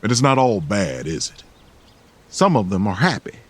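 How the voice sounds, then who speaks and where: A man acting a calm, weary, caring companion who speaks softly and coaxes others, in an audio drama. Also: fairly low pitch, moderate pace, breathy edge. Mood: coaxing. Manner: soft, coaxing, gentle. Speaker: Silver.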